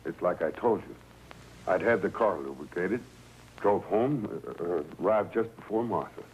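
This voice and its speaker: A man's voice: deep voice, animated, moderate pace, a little mellow, breathy edge, heavily acted. An actor playing a guarded chauffeur with a shady past in a 1950s TV crime drama, hesitant and defensive in his answers. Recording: noisy 1950s TV soundtrack.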